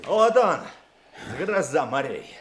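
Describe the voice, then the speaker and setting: An actor playing a cocky, eager young police trainee in a Soviet radio drama, boastful and keen. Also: male voice, on the high side, very animated, slow pace, fairly bright tone, heavily acted. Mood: triumphant. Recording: noisy old radio play recording.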